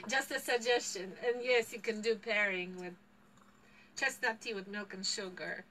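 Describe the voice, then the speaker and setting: A woman's voice: mid-range voice, fairly even intonation, moderate pace, fairly bright tone, slight background noise. A woman in her fifties with a Polish accent, leading a live tea tasting class in a shop, chatty and laughing often. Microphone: far camera.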